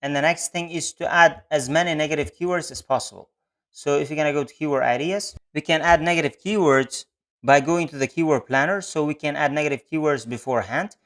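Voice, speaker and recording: Higher-pitched man narrating a tutorial. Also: fairly quick, fairly bright tone. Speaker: online advertising tutorial presenter. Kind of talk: step-by-step screen-share tutorial narration. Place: voice-over on a screen recording.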